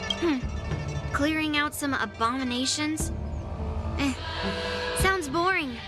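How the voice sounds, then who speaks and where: High-pitched cocky bratty young swordgirl. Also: loud music behind the voice. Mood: dismissive. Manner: teasing, boastful, drawn-out delivery. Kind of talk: anime video game voice acting.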